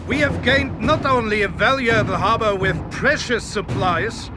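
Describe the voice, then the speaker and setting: High-pitched, commanding panzer general. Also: male voice, unhurried, over music. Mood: proud, triumphant. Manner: authoritative, formal, self-assured. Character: confident, commanding male German panzer general in wartime. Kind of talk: dramatic video game mission briefing.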